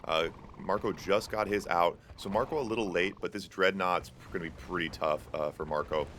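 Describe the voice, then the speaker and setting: A man's voice: deep voice, moderate pace, a bit bright, slight background noise. A young man hosting a tournament stream, giving casual play-by-play commentary on a strategy game with lots of fillers and asides to chat. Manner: casual and chatty, many fillers, jokes with chat. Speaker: tournament host and caster. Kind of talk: live esports play-by-play commentary.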